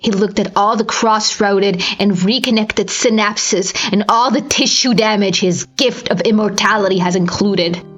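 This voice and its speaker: A woman's voice: medium pitch, steady tone, unhurried, few pauses, muffled recording. A woman reading a horror story aloud in an intense, theatrical manner. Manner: intense, theatrical, with breathy whispers and strained cries. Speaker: amateur audiobook reader. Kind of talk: dramatic scripted horror story narration.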